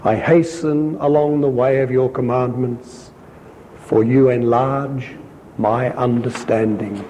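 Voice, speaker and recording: A man reading scripture aloud in a measured, deliberate way, pausing to explain points to his listeners as a Bible teacher. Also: mid-range voice, fairly even intonation, warm tone, long reverb tail, a little hiss or hum. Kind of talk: slow scripture reading with brief commentary.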